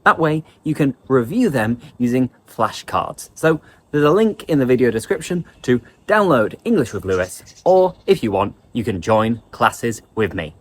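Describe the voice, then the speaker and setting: A man teaching English vocabulary in an online lesson, explaining words in a lively way. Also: medium pitch, normal speed.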